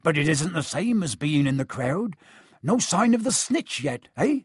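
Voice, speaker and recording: A man voicing a big, warm-hearted rustic gamekeeper with a gruff, mumbling manner in a storybook. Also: fairly high pitch, big pitch swings, measured pace, no room sound, clean studio sound, heavily acted. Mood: friendly.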